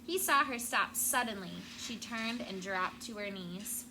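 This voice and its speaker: A woman's voice: mid-range voice, a little slow. A woman schoolteacher reading a storybook aloud to children. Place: classroom. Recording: clear background noise.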